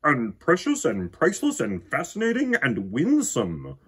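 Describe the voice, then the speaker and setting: A man's voice: medium pitch, huge pitch swings, measured pace, fairly bright tone, slightly rough, voice pushed up, exaggerated voice. A man voicing a doting father mouse in a storybook, grandly effusive and emphatic. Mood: adoring.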